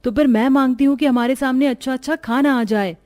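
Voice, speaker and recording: A woman's voice: high-pitched, brisk, darkish tone, close to an everyday voice. A woman voicing a caring, protective orphan girl, an eldest sister in a cartoon story. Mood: amazed, eager.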